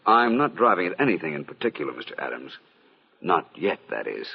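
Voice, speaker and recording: An actor playing a suave, shrewd gentleman private detective in an old-time radio mystery, polite, probing and dryly understated. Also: a bass voice, very animated, fairly quick, gravelly, breathy edge, heavily acted. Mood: coolly probing. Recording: dull, muffled old radio recording.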